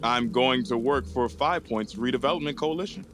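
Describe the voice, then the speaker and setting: A man's voice: mid-range voice, normal speed, music in the background, close to an everyday voice. An actor as an earnest, idealistic young man. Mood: determined, passionate. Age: young adult. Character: idealistic, eager grown son. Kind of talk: audio drama dialogue.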